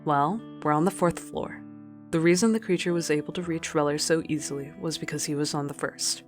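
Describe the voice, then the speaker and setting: A woman's voice: low-pitched, steady tone, fairly quick, soft background music, natural voice. A woman playing a stern, tired, cautious expedition captain in an audio drama, firm and curt.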